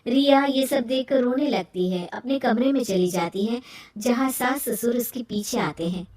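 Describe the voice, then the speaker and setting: A woman's voice: a little high, moderate pace, a little mellow. A woman reading scripted narration as the storyteller of a moral tale. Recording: roomy echo, distant from mic.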